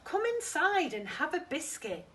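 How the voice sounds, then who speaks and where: A woman voicing a curious, gentle young male puppy in a children's storybook, earnest and childlike. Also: high-pitched, sing-song, unhurried, far from the mic, a little hiss or hum, voice pushed up, full character voice. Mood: friendly, calm.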